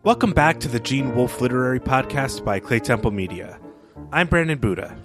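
A man's voice: medium pitch, animated, a little fast. Male podcast host over music. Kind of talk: podcast introduction. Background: music under the voice.

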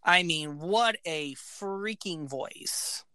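A man with a high voice, talking eagerly about a band in casual podcast chat, full of facts and "like"s. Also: drawn-out words, pushed and bright, clear, resonant tone, dry recording, studio quality. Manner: enthusiastic, fact-filled, says "like" often. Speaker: podcast co-host.

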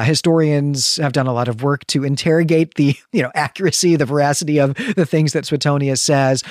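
A man's voice: mid-range voice, dry recording. Scholarly man explaining in a dry studio. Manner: explanatory, scholarly, with careful asides. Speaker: podcast co-host explaining history. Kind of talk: conversational literary podcast discussion.

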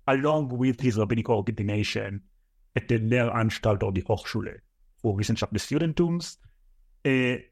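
A man's voice: fairly low pitch, vocal fry, a little mellow. A man in his thirties with an Israeli accent, a guest scholar answering interview questions thoughtfully, with many fillers. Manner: thoughtful, listing at length with fillers.

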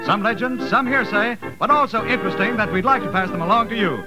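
A man's voice: high voice, fast, low-quality recording. A man announcing a show in formal 1940s radio style. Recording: old radio recording under loud organ.

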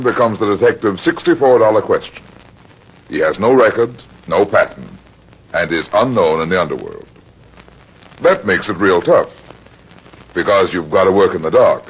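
An American actor playing a world-weary, tough but gallant private detective in a 1940s radio drama. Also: male voice, deep voice. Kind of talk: 1940s radio drama acting, first-person detective narration. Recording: dull, noisy old-time radio recording.

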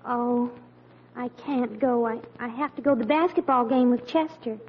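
An actress playing an eager, gushing teenage girl with excitable delivery in old-time radio comedy style. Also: fairly high pitch, moderate pace, slightly rough. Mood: fretful. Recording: noisy, dull vintage radio recording.

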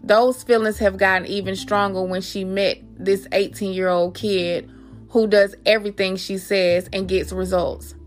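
A woman's voice: mid-range voice, little pitch movement, a little slow. Woman, podcast host. Mid-range voice, speaks directly and familiarly to listeners or reads aloud, music underneath. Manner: addresses listeners familiarly as guys. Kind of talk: personal podcast talk and reading aloud.

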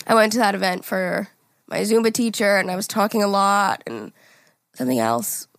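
A young woman co-hosting a podcast, with a raspy morning voice, chatting casually, laughing often and swearing freely. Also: medium pitch, normal speed, no echo. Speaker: podcast co-host. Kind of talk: casual chat.

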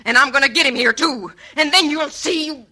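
An actress playing a fierce, outraged frontier lawman's wife in an old radio western, shrill and accusing. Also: fairly quick, background noise, close to an everyday voice.

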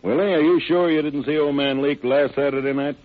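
A man's voice: a little high, steady tone, fairly quick, close to an everyday voice. An actor playing a shrewd, suspicious lawman with clipped, slangy, probing talk in an old radio crime drama. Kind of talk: 1940s-50s radio crime drama acting. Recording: tinny band-limited old radio recording.

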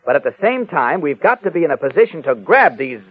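An actor playing an earnest, level-headed newspaper reporter, reasoning emphatically in a 1940s radio serial. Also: male voice, on the high side, sing-song, fast, husky, no room sound, phone-quality sound. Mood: exasperated, persuasive. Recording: thin, band-limited vintage radio recording.

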